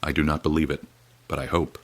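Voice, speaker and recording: Guy doing a worn-out grim field reporter, super deep voice, solemn and slow-measured, dry close sound. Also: flat delivery, no echo. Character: weary grim male war-zone radio reporter. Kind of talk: dramatic period radio reporting.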